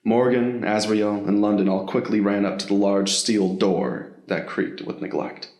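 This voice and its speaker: A man narrates a scripted story in a fairly low voice. He reads as the narrator of an audio drama, and the recording has a noticeable roomy echo. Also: steady tone, measured pace, a little mellow.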